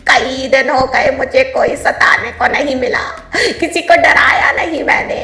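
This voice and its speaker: Woman doing a taunting mischievous lady ghost for a cartoon tale, bright piercing voice, roomy echo. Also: sits high, fairly even intonation, measured pace, gravelly, heavily acted. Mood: gleeful, gloating. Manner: taunting, theatrical, gloating. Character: mischievous gleeful female ghost who loves scaring travellers. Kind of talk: Hindi cartoon moral story voice acting.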